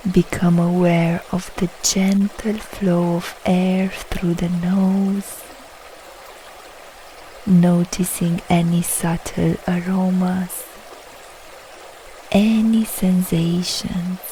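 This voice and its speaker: Very slow, mellow woman guiding meditation. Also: lowish voice, level tone, slight background noise, rough recording. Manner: soothing, unhurried, soft short phrases with long pauses. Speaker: yoga nidra meditation guide. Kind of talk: slow guided relaxation meditation.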